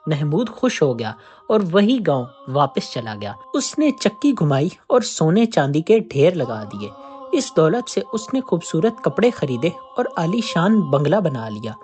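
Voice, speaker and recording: Lively storyteller with a bright voice. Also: medium pitch, measured pace, faint music behind. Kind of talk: scripted narration of a moral folk tale.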